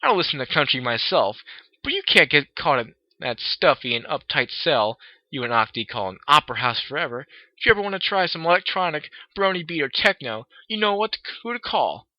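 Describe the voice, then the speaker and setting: A brash, upbeat DJ mare talks loud and breezy, as a man acts her out. Her voice sits higher than the reader's own. The recording is dry and a little dull. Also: sing-song, a little fast, no echo. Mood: cheeky.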